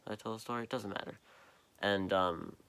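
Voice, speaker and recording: A tired young man with a deep voice, rambling slowly in a late-night vlog, halting and full of fillers, recorded on a propped-up phone in a quiet basement. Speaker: vlogger. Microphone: phone propped up nearby.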